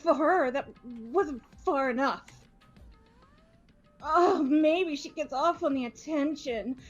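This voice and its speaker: A woman's voice: high voice, takes it slowly, soft background music. A woman voicing a spiteful, paranoid young woman in a fan-voiced game, sneering and stammering on a muffled microphone.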